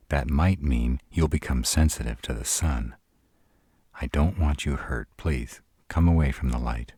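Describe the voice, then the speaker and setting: A man's voice: very deep voice, warm tone, breathy edge, no room sound, studio quality, heavily acted. A man playing a sarcastic, brooding male vampire in an intimate roleplay audio drama, proud and guarded but secretly smitten. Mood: urgent, exasperated. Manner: sardonic, exasperated, halting when vulnerable.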